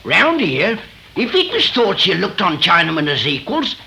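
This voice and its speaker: A man's voice: high voice, wildly swooping pitch, moderate pace, gravelly, bright, forceful tone, full character voice. A man playing a blunt, prejudiced frontier Westerner with folksy slang in a 1930s radio drama. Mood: blunt, warning. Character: blunt, bigoted 1850s California frontier man. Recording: noisy 1930s radio recording.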